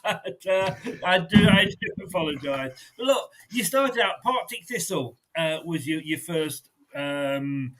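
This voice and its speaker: A man with a fairly high voice hosts an interview in a light, joking way. He laughs easily and keeps the talk upbeat while introducing his guest. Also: animated, measured pace, few pauses, a bit bright, rough recording. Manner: jokey and upbeat, laughs often. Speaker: host. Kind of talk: casual interview.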